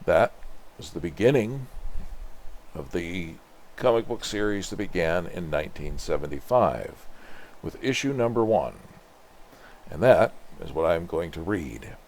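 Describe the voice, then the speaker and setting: Older man, low register, storyteller reading comic-book narration aloud. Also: dark tone. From Canada. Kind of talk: read-aloud narration with introduction.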